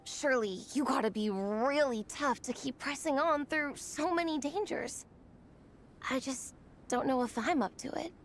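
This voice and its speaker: High-voiced, starstruck girl athlete. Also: normal speed, a little hiss or hum. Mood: wistful, unsure. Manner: earnest, wide-eyed, excitable. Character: eager, starstruck teenage girl athlete, easily daunted. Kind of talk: video game voice acting.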